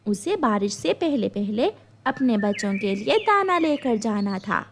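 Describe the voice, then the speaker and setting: A woman's voice: fairly high pitch, sing-song, measured pace, slight background noise. A woman storyteller reading scripted narration for a children's cartoon story.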